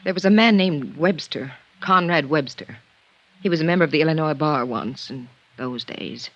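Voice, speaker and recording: A woman's voice: sits low, moderate pace. A dull 1950s radio recording holds a middle-aged woman as an estranged wife. She sounds anxious and guarded, with the careful delivery of period radio drama. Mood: hesitant, subdued.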